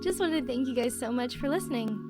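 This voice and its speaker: A woman's voice: high-pitched, animated, quick pace. A young woman hosting her channel, giving an upbeat outro to her listeners. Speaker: channel host, audio creator. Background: music.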